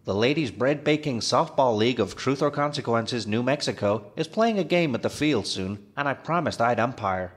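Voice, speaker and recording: An actor playing a timid, earnest forest ranger, polite and matter-of-fact, in a comedic audio drama. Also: male voice, medium pitch, lively intonation, moderate pace, few pauses, lightly acted. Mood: mild, timid.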